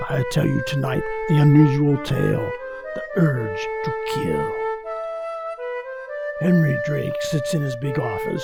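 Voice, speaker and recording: A man playing a mysterious narrator of dark tales in a 1940s radio suspense show, ominous and dramatic. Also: medium pitch, creaky, lightly acted. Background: loud flute and woodwind music.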